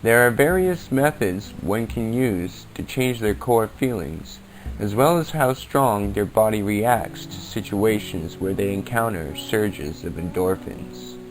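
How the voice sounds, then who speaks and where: A man's voice: fairly low pitch, animated, a little slow, soft background music, poor audio. A man hosting a podcast, reading scripted informational narration on a basic amateur setup. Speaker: podcast host. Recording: amateur podcast, basic equipment, uneven levels.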